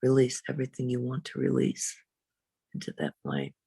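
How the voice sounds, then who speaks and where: A woman reverend leading a guided meditation in a slow, soothing, unhurried way, with long pauses. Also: sing-song, mellow, dry recording. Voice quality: low, husky, creaky.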